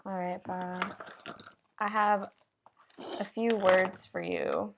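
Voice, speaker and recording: Female host, slow, chatty, hesitant, with some vocal fry. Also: mid-range voice, flat delivery, noisy background. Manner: chatty, hesitant, lots of fillers. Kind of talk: casual chat.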